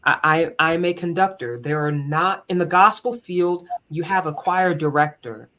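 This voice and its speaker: A man with a higher tenor voice, speaking as a musician and conductor in an earnest, candid, reflective way in a conversational interview. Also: moderate pace, pushed and bright, a little hiss or hum.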